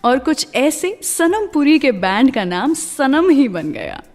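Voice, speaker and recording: A woman's voice: high voice, big pitch swings, takes it slowly, a little mellow, long reverb tail. An Indian woman presenting a music radio show in a conversational, chatty storytelling way, slipping between Hindi and English phrases.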